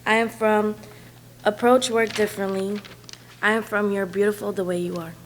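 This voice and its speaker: A young woman from Trinidad, a student haltingly reciting a personal spoken word poem. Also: medium pitch, flat delivery, music in the background. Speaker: student performer.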